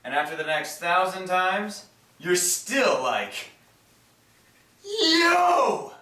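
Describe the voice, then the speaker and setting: A man's voice: high voice, big pitch swings, extremely slow, bright, forceful tone, exaggerated voice. A man performing a stage monologue as a vain, slangy, weary young ship's lookout who breaks into exclamations. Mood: excitable, wry. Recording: echoey room, far from mic.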